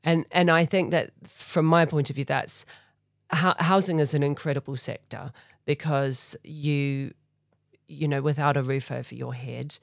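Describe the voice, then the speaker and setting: A woman's voice: low-pitched, steady tone, a little slow, creaky, no echo, clean studio sound. A middle-aged woman with a New Zealand accent, a charity chief executive speaking thoughtfully and earnestly as a podcast guest. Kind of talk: conversational interview.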